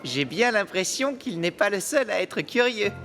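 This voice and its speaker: A performer voicing a cheerful, curious young child hero in a French animated series, eager and expressive, full of exclamations. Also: medium pitch, normal speed, over music, lightly acted. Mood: friendly.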